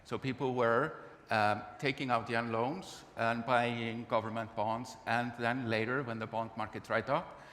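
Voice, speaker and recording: A man with an Icelandic accent speaks on a conference panel in measured, halting phrases with dry, wry asides. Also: mid-range voice, steady tone, a bit bright. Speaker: panelist from the games industry. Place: large conference event hall. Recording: reverberant hall with audible noise.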